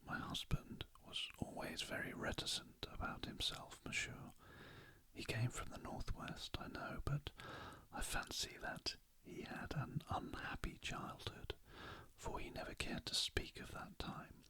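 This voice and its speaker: A narrator voicing a grieving, dignified and reticent widow from a storybook in a breathy, formal whisper. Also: very high-pitched, wildly swooping pitch, unhurried, bright, forceful tone, voice pushed up, heavily acted. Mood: composed, wistful.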